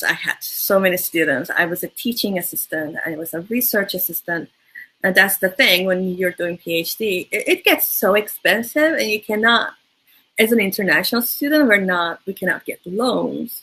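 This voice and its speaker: Turkish-accented woman in her 30s, slow and husky, bright tone, thinking out loud. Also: mid-range voice, poor audio. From Turkey. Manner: thoughtful, with frequent restarts and fillers. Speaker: guest. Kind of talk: casual interview talk.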